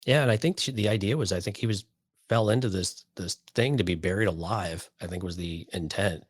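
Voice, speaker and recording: A man's voice: sits low, fairly quick, dry recording, studio quality. A man co-hosting a podcast, discussing a story casually and conversationally. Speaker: podcast co-host.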